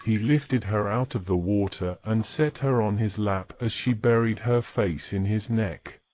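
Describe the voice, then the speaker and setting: A man's voice: on the low side, measured pace, muffled recording, slight background noise. A man reading scripted fantasy romance narration as a storyteller.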